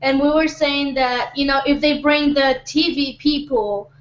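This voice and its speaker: A woman with a high voice chats as a panelist in a casual group discussion. She is recorded in an echoey room, and the sound is dull and lo-fi. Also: fairly even intonation, measured pace.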